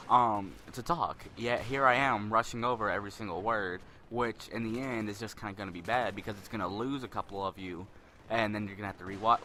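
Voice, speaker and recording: A young man with a deep voice giving rambling, spontaneous commentary over gameplay, a little self-conscious. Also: sharp tone, a little hiss or hum.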